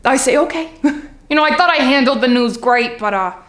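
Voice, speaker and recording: High-voiced, chatty housewife, acted by a woman, echoey room. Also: expressive, fairly quick, a bit bright. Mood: chatty, wry. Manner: confiding, rambling, hesitant asides. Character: chatty middle-aged Long Island housewife, candid, nervously well-meaning mother. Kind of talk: theatrical comic monologue, storytelling to a listener.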